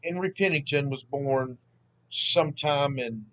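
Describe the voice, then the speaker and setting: A man in his thirties with a Southern Mississippi accent, chatting casually at home as the host of a history channel, relaxed and rambling. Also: on the high side, a little slow, slight background noise, rough recording. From Southern United States (Mississippi). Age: 30s. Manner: rambling, relaxed, self-deprecating. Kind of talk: casual vlog chat. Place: at home, sitting.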